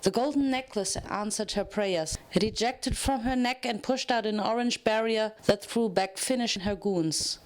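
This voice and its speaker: A woman's voice: on the high side, normal speed, few pauses. A woman narrating a scripted story as a dramatic reading.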